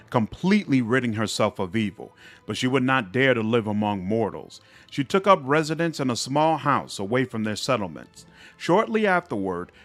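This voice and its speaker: A man narrating fantasy game epilogues in a measured, expressive storybook style. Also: medium pitch. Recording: music under the voice.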